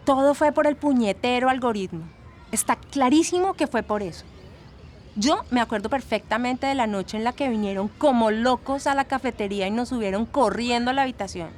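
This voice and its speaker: An actress playing a chatty former student with a Latin American Spanish accent, giving gossipy, fondly exasperated testimony in an audio drama. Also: female voice, on the high side, fairly quick, a little hiss or hum, lightly acted. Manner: gossipy, emphatic, sprinkles in English words.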